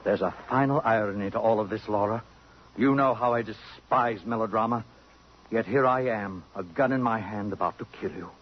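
An actor playing a cultured, possessive, murderous aesthete, pompous and disdainful, in a 1950s radio drama. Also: male voice, fairly low pitch, normal speed, a little hiss or hum, natural voice. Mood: coldly ironic. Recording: muffled old radio, music behind.

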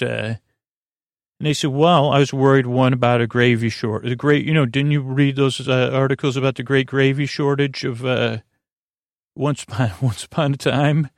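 An American man hosts a bedtime podcast in a creaky, gravelly voice at a middling pitch. He wanders through his monologue, halting and doubling back on his own sentences. The sound is close and dry. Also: no room sound. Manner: meandering, halting, self-interrupting. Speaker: podcast host. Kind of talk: rambling sleep podcast monologue.

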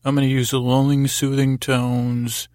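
A man hosting a bedtime podcast in a halting, rambling, easily distracted way, meant to lull listeners to sleep. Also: medium pitch, completely flat delivery, a little mellow, no echo, clean studio sound. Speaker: podcast host. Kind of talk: rambling bedtime monologue to help listeners fall asleep.

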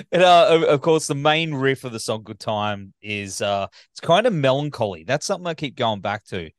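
A man interviews in a casual, matey style. His intonation moves up and down a lot around a mid-level pitch. The recording is dry, without much room sound. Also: lively intonation, normal speed, dry recording. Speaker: podcast interviewer.

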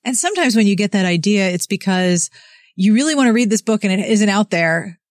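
A woman's voice: medium pitch, lively intonation, a little fast, no echo, clean studio sound. A woman hosting a podcast interview, enthusiastic and conversational as she asks about a new book. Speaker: podcast host. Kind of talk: casual interview chat.

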